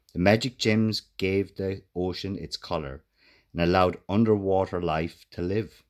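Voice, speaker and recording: A man reading a children's storybook aloud as an expressive narrator. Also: deep voice. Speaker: author reading own children's book.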